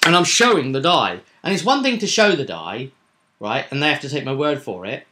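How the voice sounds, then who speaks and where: A man with a bright, mid-pitched voice talks casually as a game master hosting a vidcast. His intonation swings widely, and he rambles in an animated, chatty way as he speaks directly to his viewers. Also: a little fast. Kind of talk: casual chatty recap of a tabletop roleplay session.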